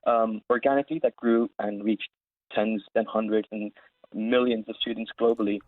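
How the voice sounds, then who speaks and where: A man's voice: on the low side, steady tone, moderate pace, no room sound. A man in his twenties from Saudi Arabia, answering interview questions in an informal, explanatory way over a live call line. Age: 20s. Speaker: interview guest, founder of an education platform. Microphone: remote call line. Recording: narrow phone-like live line.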